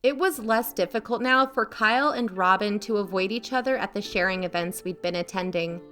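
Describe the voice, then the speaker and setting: A woman's voice: medium pitch, brisk, a bit bright, faint music behind, lightly acted. A woman playing a wary, protective aunt in an audio drama, confiding and dryly skeptical. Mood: wary, wry. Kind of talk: first-person audio drama narration.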